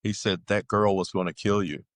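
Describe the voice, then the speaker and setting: Male interview guest, deep register, conversational and halting storyteller who quotes dialogue, very dry sound. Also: fairly even intonation, no room sound. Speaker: guest telling his life story. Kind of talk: casual personal testimony.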